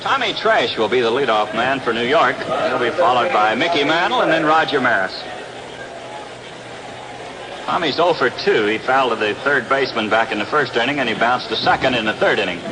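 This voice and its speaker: A man's voice: medium pitch, lively intonation, brisk, few pauses, background noise. An American man calling baseball play-by-play in the style of a 1960s radio broadcast, recorded outdoors at a ballpark. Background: loud stadium ambience.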